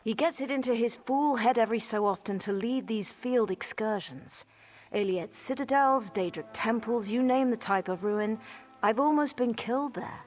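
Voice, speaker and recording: An actress playing an exasperated, wry mage scholar in a video game, explaining things articulately. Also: female voice, a little high, normal speed, a little mellow, soft background music, natural voice. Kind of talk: conversational video game dialogue.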